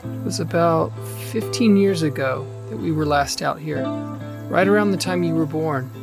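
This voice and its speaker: Guy playing a brooding wistful big brother in an audio drama, lots of vocal fry, music behind. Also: fairly high pitch, moderate pace. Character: wistful, brooding elder brother, nostalgic and grave.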